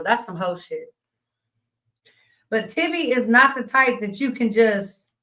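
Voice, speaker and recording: A woman with a slightly raspy voice hosting a casual live stream, reading chat comments and talking bluntly, with plenty of profanity and laughter. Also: medium pitch, a bit bright, rough recording.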